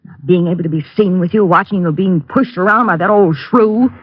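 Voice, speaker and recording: Actress as a scheming young secretary, old radio drama, sharp and demanding, husky, dry, dull lo-fi sound. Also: female voice, on the low side, fairly quick, no echo, close to an everyday voice. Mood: impatient, demanding. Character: scheming young woman, a secretary plotting murder. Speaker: private secretary. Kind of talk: 1940s radio acting.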